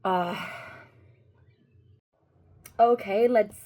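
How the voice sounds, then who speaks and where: A Croatian woman whose first language is Croatian, talking slowly and deliberately through a commentary vlog. She pauses often and restarts her sentences, earnest and unhurried, seated at her desk. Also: mid-range voice, dark tone. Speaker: YouTuber. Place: at a desk with two monitors.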